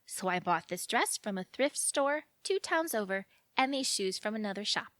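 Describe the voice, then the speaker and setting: A woman's voice: medium pitch, lively intonation, normal speed, fairly bright tone, no echo, close to an everyday voice. An actress playing a romantic, earnest young small-town woman in a naturalistic audio drama, chatty and a little defiant. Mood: dreamy, proud.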